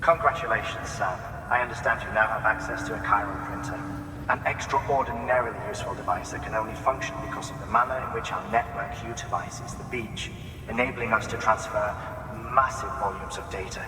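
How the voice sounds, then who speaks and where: A man's voice: on the low side, brisk, reverberant, big-room sound, recorded from a distance, noisy background, close to an everyday voice. A man playing a composed, cerebral official in a video game, speaking formally and posing rhetorical questions. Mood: measured, formal. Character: composed, cerebral male scientist or official lecturing a courier. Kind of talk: scripted video game cutscene dialogue.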